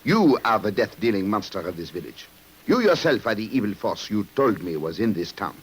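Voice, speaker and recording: An actor playing a stern, commanding French police commissioner in a formal 1950s radio drama style. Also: male voice, on the low side, big pitch swings, fairly quick, poor audio. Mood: accusing. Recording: hissy old radio recording.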